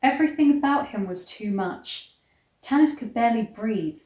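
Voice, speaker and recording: A woman reading a story aloud in a measured, theatrical storybook style. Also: fairly high pitch, lively intonation, darkish tone, a little hiss or hum. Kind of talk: dramatic storybook reading with disgusted asides. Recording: echoey, roomy sound.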